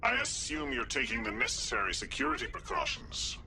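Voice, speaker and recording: An actor playing a stern, suspicious alien councilor in a game, formal and accusing. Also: male voice, mid-range voice, wildly swooping pitch, fairly quick, noisy background.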